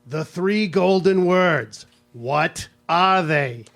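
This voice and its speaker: Man acting an exasperated, high-pitched scolding husband. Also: lively intonation, extremely slow, a bit bright, heavily acted. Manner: nagging, emphatic, questioning. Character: exasperated, scolding mid-century husband back from the office. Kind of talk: live radio play acting.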